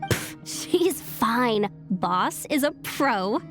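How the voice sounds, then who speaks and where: Game role: cheeky slangy girl, high voice with bouncy intonation, breezy and casual. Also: lively intonation, extremely slow, a bit bright, soft background music, heavily acted. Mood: cocky. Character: cheeky, casual, easily lost young girl. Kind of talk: game cutscene acting.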